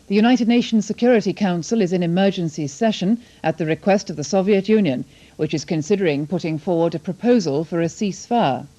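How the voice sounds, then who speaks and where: A woman's voice: on the low side, warm tone, muffled recording. A British woman reading scripted television news headlines as a newsreader.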